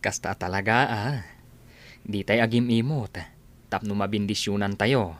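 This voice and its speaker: A man's voice: on the low side, steady tone, measured pace, natural voice. A man playing an opinionated village husband in an Ilocano radio comedy drama, talking in an admonishing, conversational way with an Ilocano accent. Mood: friendly advising.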